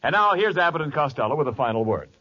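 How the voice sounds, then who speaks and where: High-voiced American 1940s radio announcer, man. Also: big pitch swings, quick pace, sharp tone. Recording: dull 1940s radio recording with music.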